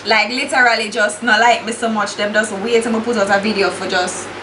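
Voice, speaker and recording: Young Jamaican woman vlogger, blunt and exasperated, higher voice, echoey room with steady hiss. Casual makeup chat. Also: a little fast. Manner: blunt and exasperated, swears freely, slips into patois. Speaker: beauty YouTuber.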